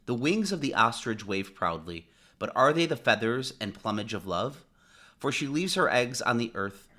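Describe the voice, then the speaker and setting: Male narrator, bright forceful voice, mid pitch, solemn declamatory scripture reading, measured phrases. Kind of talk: dramatic scripted Bible narration.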